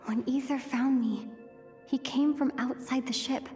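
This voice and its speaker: A woman's voice: high-pitched, steady tone, a little slow, darkish tone. A woman voicing an earnest, thoughtful young female traveler in a video game cutscene, with a sincere, pensive delivery. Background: background music.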